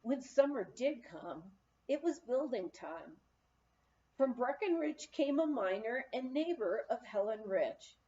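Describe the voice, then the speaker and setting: High-pitched, lively woman reading a memoir. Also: dull audio. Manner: measured storytelling with deliberate pauses. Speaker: audiobook reader. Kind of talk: scripted narration of a memoir, read aloud.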